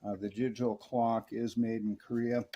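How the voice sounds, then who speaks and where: A man's voice: medium pitch, flat delivery, moderate pace, dark tone, muffled recording, slight background noise. A middle-aged man in a casual, chatty hands-on review, folksy and enthusiastic about old equipment. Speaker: radio repair reviewer.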